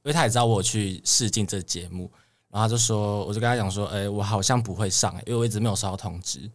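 A man's voice: lowish voice, fairly even intonation, quick pace. A young man with a Taiwanese Mandarin accent, telling stories casually as an interview guest. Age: young adult. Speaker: guest, idol trainee.

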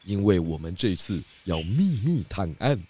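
An actor playing a calm, clever pig detective in a children's radio drama, patient and instructive. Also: male voice, low voice, measured pace, a little hiss or hum.

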